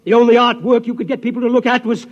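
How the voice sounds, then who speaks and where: A nervous, obsessive scientist in a 1950s radio play. His voice is very high and rough-edged, full of indignation. The vintage recording rings with reverb. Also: flat delivery, fast, highs cut off. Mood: indignant. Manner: agitated, repetitive, indignant. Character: aggrieved, nervous male scientist, whiny and obsessive. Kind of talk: 1950s radio drama acting.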